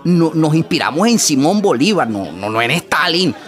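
An idealistic Venezuelan man makes fervent political speeches in a radio drama. His fairly high voice moves animatedly and trips on word starts, with music clearly behind it. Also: very animated, normal speed, fairly bright tone. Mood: impassioned. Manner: oratorical, stammering on word starts. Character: fervent Venezuelan socialist party leader, idealistic orator.

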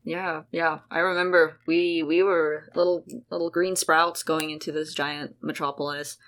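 Low-voiced young woman, rambling and chatty. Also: flat delivery, normal speed, a bit bright. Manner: chatty, rambling, full of "like" and restarts. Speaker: guest host. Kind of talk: casual conversation between sisters.

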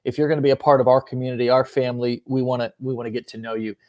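A man hosting a casual online interview show, upbeat and energetic, often restarting and repeating words. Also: mid-range voice, racing. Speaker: host.

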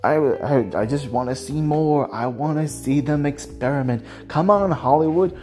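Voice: mid-range voice, moderate pace, mellow, soft background music. A person giving casual, rambling solo commentary as a host, with a hesitant way of talking that circles back over phrases.

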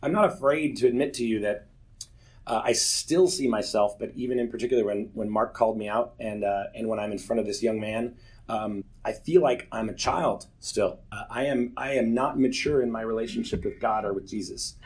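A man's voice: lowish voice, a little fast. A man in his forties giving an earnest, candid personal testimony to a church group, telling stories with self-deprecating humor. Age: 40s.

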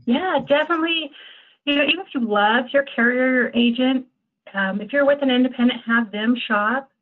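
A woman's voice: sits high, normal speed. American woman on a thin, phone-like line. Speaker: insurance agent guest. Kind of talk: conversational expert explanation. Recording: thin, phone-like call audio.

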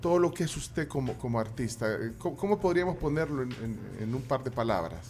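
A man hosting a studio interview, halting and restarting as he shapes his questions. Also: medium pitch, sing-song, darkish tone, soft background music. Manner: hesitant, halting, many restarts. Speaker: interview host. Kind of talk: casual interview chat.

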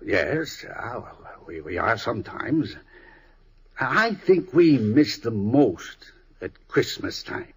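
An actor playing a kindly, wistful old country storekeeper with a husky, folksy, halting delivery in an old radio drama. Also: male voice, medium pitch, very animated, full character voice. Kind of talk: 1950s radio drama acting. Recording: old radio broadcast recording.

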